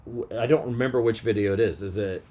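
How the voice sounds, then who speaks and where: Casual man with a halting delivery. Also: on the low side, mellow. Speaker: podcast co-host. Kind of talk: casual music chat.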